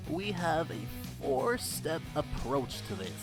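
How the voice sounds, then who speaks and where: A man's voice: fairly high pitch, big pitch swings, slow. A man playing a game developer who presents step by step at a convention panel in a visual novel game. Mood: upbeat, instructive. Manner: addresses a crowd in clear steps. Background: guitar game music.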